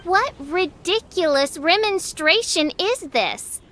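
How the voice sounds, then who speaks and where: A woman's voice: extremely high pitch, expressive, takes it slowly, sharp tone, slight background noise, exaggerated voice. A woman voicing a grandiose, theatrical teenage girl who styles herself a princess, pompous and archaic, in a video game. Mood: haughty. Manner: pompous, archaic royal speech. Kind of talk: video game cutscene acting.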